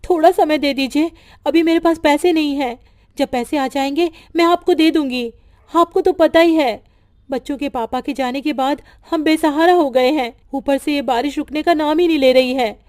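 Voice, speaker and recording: A desperate young mother pleads in a high, tearful voice, voiced by a woman. The tone stays soft and mellow. She belongs to an animated storybook cartoon. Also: normal speed, natural voice. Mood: pleading.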